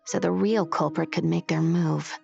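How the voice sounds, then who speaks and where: A serious patrol leader in a video game, low and gravelly. She is level-headed and businesslike, heard through slightly dull audio over faint music. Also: steady tone, moderate pace, darkish tone. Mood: grave, measured.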